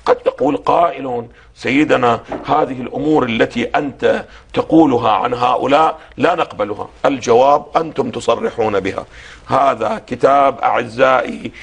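A hoarse man, a religious scholar on a TV programme, lecturing in a didactic way and asking questions for the host to answer. He reads quoted passages slowly and deliberately. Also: medium pitch, fairly bright tone. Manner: slow, deliberate when reading quotations.

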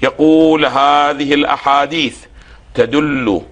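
Hoarse man teaching religion on TV. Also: on the high side, fairly even intonation, fairly bright tone. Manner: didactic, poses questions for the host to finish; slow, deliberate when reading quotations. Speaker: religious scholar guest. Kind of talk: televised religious lecture.

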